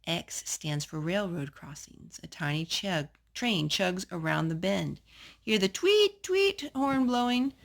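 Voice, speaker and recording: Creaky, lower-voiced woman reading to a child. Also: expressive, slow pace. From North Carolina. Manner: gentle questions and asides to a young child. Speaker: storytime host reading children's books aloud to a child. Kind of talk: children's read-aloud storytime.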